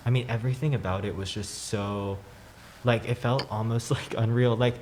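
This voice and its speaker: A man's voice: medium pitch, steady tone, normal speed, a little mellow, slight background noise, low-quality recording. A teenage male student chatting casually on a panel, a bit hesitant, often saying "I mean" and "like". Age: teens. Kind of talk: casual chat.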